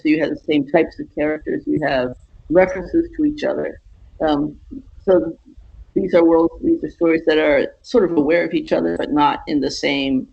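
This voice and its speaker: A woman giving a casual interview as a guest author, explaining things haltingly and rephrasing as she goes. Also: sits low, creaky, a little mellow, breathy edge, dull audio.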